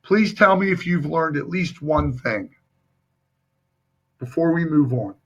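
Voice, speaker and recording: Hoarse male sales coach, husky. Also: a little high, expressive, slow. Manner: emphatic, coaching, addresses viewers directly. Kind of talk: live-stream sales training. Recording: dull lo-fi stream audio.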